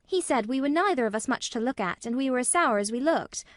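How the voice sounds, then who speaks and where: A woman's voice: high-pitched, lively intonation, fast, no room sound, studio quality, pitched above the performer's own voice. A woman voicing an earnest, blunt young girl in a storybook, speaking frankly and emphatically. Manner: frank, matter-of-fact, emphatic. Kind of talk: acted storybook dialogue.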